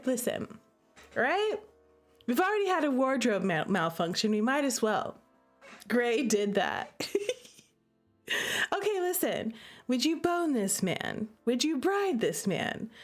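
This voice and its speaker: Female game streamer, high and very lively voice, gushing and playful, chatting casually over soft music. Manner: emphatic, repeats "okay" and "listen" as tags. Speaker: game streamer reacting with live chat. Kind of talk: casual livestream commentary.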